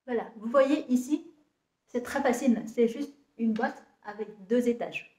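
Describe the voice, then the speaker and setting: A woman in her thirties from Vietnam, speaking French with a Vietnamese accent, describing her compost business to camera and often pausing on fillers and restarts. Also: fairly high pitch, a little mellow, roomy sound. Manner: hesitant, many fillers and restarts. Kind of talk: casual talk to camera, halting.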